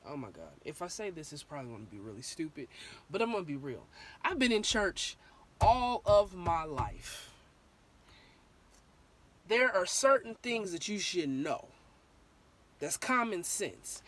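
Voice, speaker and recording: A young woman with a sore, slightly hoarse throat, talking through her opinions in a candid, rambling vlog full of ums and restarts. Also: on the low side. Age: young adult. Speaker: vlogger.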